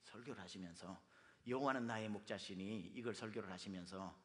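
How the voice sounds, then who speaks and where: A man preaching a sermon as pastor, his voice hoarse from dust, speaking emphatically. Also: fairly low pitch, a little fast, fairly bright tone. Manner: emphatic, with sung and quoted lines. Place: church sanctuary. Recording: long reverb of a hall.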